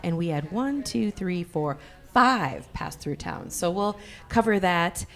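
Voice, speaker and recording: Slightly hoarse woman co-hosting a podcast. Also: on the low side. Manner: chatty, laughs often. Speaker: podcast co-host. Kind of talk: casual chat.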